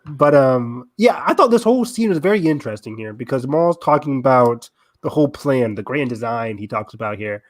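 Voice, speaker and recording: A man's voice: medium pitch, lively intonation, a little fast, few pauses. A man co-hosting a podcast, talking casually in a fan discussion. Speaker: podcast co-host.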